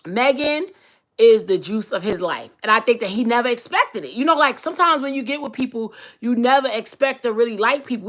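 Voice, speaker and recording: Brash New York woman with a bright voice. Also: on the high side. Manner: blunt, profane, sassy. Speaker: live-stream host. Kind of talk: casual live-stream chat reading comments.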